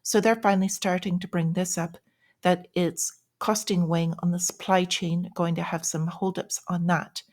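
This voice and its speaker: A woman's voice: lowish voice, flat delivery, moderate pace, a little mellow. A woman giving informal news commentary in a plain-spoken, explanatory and cautionary way, as the host of a financial vlog.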